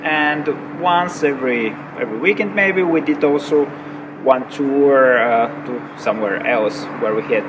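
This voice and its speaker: A man from Bulgaria with a Bulgarian accent, telling a personal story with frequent pauses, fillers and repeated words. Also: mid-range voice, muffled recording. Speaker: recreational diver. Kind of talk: personal story told to camera. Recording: distant mic with clear background noise.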